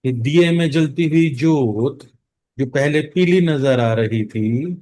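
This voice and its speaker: An Indian man leading a guided meditation as instructor, speaking calmly in short phrases with long pauses between them. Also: medium pitch. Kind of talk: guided meditation, mixing Hindi and English.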